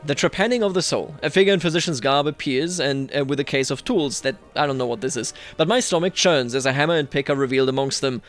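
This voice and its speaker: A man's voice: fairly high pitch, quick pace, few pauses. A man hosting a video game roleplay series, giving casual commentary and thinking aloud conversationally as he plays. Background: background music.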